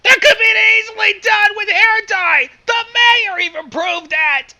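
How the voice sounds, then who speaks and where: A woman's voice: very high voice, measured pace, sharp tone. A woman reacting to a story reading with exasperated, sardonic commentary.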